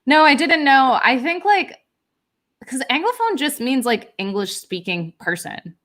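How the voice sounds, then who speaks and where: A woman's voice: high-pitched, sing-song, a little slow, fairly bright tone. A young adult woman hosting a livestream, chatting casually and reading out viewer comments with plenty of fillers. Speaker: livestream host. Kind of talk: casual chat on a writing livestream.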